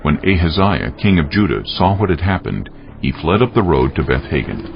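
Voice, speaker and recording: A man giving solemn, measured, scripted dramatic narration of scripture as a storyteller. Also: very deep voice, very animated, gravelly. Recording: dull audio with music underneath.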